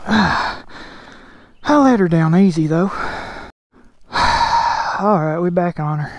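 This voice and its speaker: A man's voice: high voice, expressive, takes it slowly, no echo. A man gives casual, colloquial running commentary in short bursts while riding a dirt bike outdoors. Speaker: dirt bike rider vlogging. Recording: loud engine noise over the voice. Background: loud motorcycle engine.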